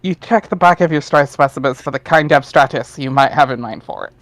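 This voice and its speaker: A man's voice: medium pitch, brisk, a bit bright, no echo. A man narrating a webcomic dramatic reading in a wry, informal way, with casual asides.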